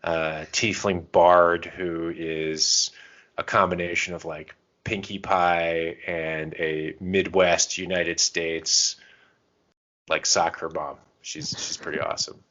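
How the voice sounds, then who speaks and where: A man's voice: sits low, level tone, slow, pushed and bright, dull audio. A man co-hosting a podcast, chatting casually and thinking aloud in a rambling way. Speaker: podcast co-host. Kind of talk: casual chat about tabletop roleplaying and comics.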